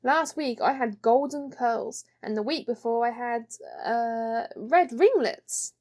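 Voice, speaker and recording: A woman's voice: a little high, takes it slowly, a little mellow. A woman voicing a bossy, boastful young princess with a haughty, grandly matter-of-fact manner in a children's storybook.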